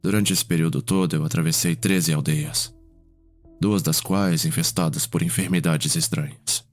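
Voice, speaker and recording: A man playing a composed, fond vampire healer and elder brother in an ASMR roleplay, speaking in a measured, formal way. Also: very deep voice, fairly even intonation, soft background music. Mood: composed, weary.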